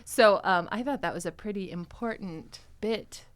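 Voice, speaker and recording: A woman hosting a podcast in a casual, chatty way, playful and quick to laugh. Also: mid-range voice. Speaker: podcast host and series creator.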